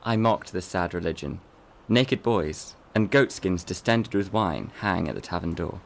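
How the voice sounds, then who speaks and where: Male actor as scornful scholar in a literary audio play, precise and lofty, pitched fairly low. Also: expressive, a little slow, creaky, slight background noise, exaggerated voice. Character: scholarly, scornful man devoted to Latin and order. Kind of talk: literary radio drama acting.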